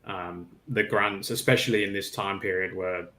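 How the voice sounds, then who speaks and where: A man's voice: low-pitched, fairly even intonation, moderate pace, bright, forceful tone. A man on a livestream panel giving his opinions in a casual hobby discussion, with tentative asides.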